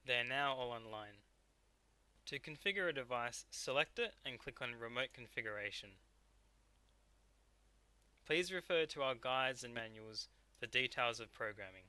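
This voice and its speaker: A man narrating a software tutorial step by step as a technical instructor. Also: medium pitch, lively intonation, moderate pace, pauses often, clear, resonant tone, dry recording.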